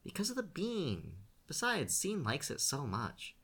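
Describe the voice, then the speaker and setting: A man's voice: fairly high pitch, huge pitch swings, a little slow, a bit bright, breathy edge, pitched above the performer's own voice, heavily acted. A man voicing a carefree, cocky young man in a read-aloud story, brushing off his brother with teasing replies. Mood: cheeky. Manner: breezy, teasing.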